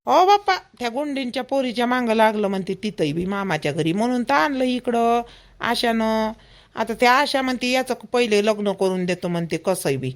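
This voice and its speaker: A woman voicing a gossipy, fretting village mother in a cartoon, with a Vidarbha Marathi accent and chatty, emphatic, repetitive talk. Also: fairly high pitch. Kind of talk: homemade cartoon family dialogue.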